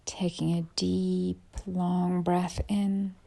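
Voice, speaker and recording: A woman leads a guided meditation in a lowish, very relaxed voice. Heavy creak runs through her speech. Her phrases end softly and breathily, with unhurried pauses between them. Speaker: yoga nidra teacher.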